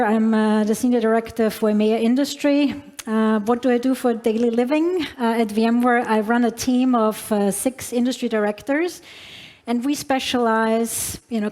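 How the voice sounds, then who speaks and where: A woman's voice: on the high side, a little mellow. A woman with a non-native English accent giving a conference keynote in a large hall, with many fillers and restarts as she addresses the audience. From Alpine region. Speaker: keynote speaker. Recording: long hall reverb.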